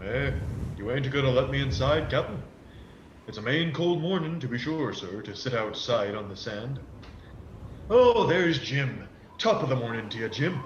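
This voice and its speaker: A man's voice: medium pitch, lively intonation, moderate pace, close to an everyday voice. Man acting a sly pirate ringleader, echoey room. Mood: wheedling, sly. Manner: wheedling, folksy old seadog talk. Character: sly, menacing pirate ringleader with false politeness. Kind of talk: radio play acting. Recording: echoey, dull, faintly noisy home recording.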